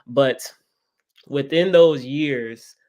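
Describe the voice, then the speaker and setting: A man's voice: medium pitch, very slow, darkish tone, highs cut off. A 24-year-old man, an interview guest who teaches about credit, talking casually and often repeating himself. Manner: repetitive, leans on "right?".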